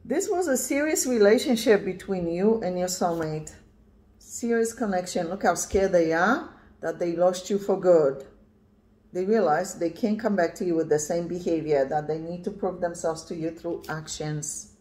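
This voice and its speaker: A woman in her fifties, originally from Poland, talks through a tarot reading to a camera pointed at her cards. Her voice sits on the low side, and she speaks emphatically, often checking in with "right?". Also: normal speed, darkish tone. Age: 50s. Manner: emphatic, repeats "yeah" and "right?". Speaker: tarot reader. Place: indoors at a card table, camera on the cards.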